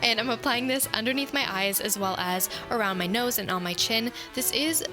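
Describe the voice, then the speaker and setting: A woman's voice: on the high side, normal speed. A young woman in her twenties hosting a beauty tutorial, chatty and upbeat as she explains each step in a voice-over. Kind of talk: voice-over makeup tutorial narration. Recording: voice-over with clear background music.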